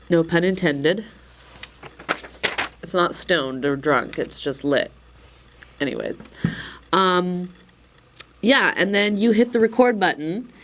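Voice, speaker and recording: A slightly hoarse woman giving a casual, chatty product demonstration, with frequent fillers and wry asides. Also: on the low side. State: a little hoarse. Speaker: instructor demonstrating a recorder.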